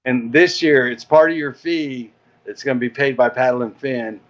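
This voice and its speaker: A man's voice: medium pitch, moderate pace. Man chatting casually in dull, lo-fi audio. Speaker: interview guest, event organizer. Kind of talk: casual chat explaining event plans.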